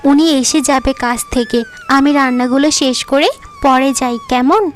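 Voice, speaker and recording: A woman doing a gentle, patient young wife. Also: high voice, fairly even intonation, normal speed, slight background noise, close to an everyday voice.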